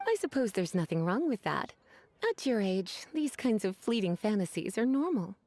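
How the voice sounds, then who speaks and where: A woman's voice: mid-range voice, sing-song, normal speed, a little mellow, dry recording. Coy, lilting, teasing lady. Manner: coy, drawling, sighing. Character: languid, teasing, flirtatious grown woman, sweetly menacing. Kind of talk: video game voice acting.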